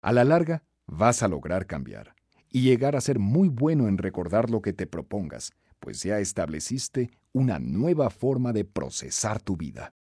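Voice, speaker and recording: A man narrating a scripted self-help audiobook in an emphatic, instructive, expressive way. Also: fairly low pitch, normal speed, breathy edge, dry recording, clean studio sound.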